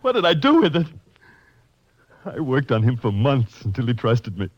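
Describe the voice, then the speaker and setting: A man's voice: medium pitch, wildly swooping pitch, normal speed, no echo. A man playing a cornered, unstable killer in a 1950s radio crime drama, with an agitated, melodramatic delivery. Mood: broken, desperate. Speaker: actor playing the villain. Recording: dull, dry old radio recording.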